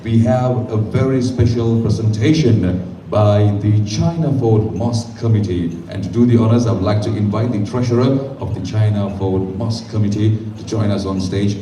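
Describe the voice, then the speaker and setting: A man's voice: on the low side, moderate pace, few pauses, warm tone. A man working as master of ceremonies at a celebration, formally announcing guests and presentations. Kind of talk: event emceeing. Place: large event venue. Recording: echoey hall, distant mic, crowd noise.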